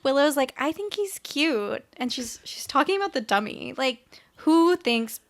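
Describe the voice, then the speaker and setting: A woman's voice: high-pitched, lively intonation, a little slow. A woman co-hosting a podcast, telling stories and recapping television in a casual, conversational way. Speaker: podcast co-host.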